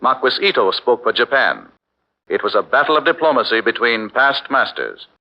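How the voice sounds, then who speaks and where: A man's voice: medium pitch, big pitch swings, normal speed. A man narrating a 1940s radio documentary drama in a formal, declamatory way. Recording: dull old radio broadcast with faint music.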